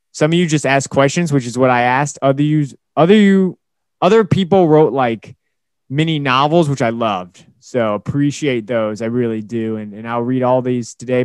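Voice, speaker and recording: A young man hosting his own podcast, talking casually alone, a little hoarse and tired, rambling with fillers and restarts. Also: medium pitch, no room sound. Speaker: podcast host. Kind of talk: casual solo podcast talk.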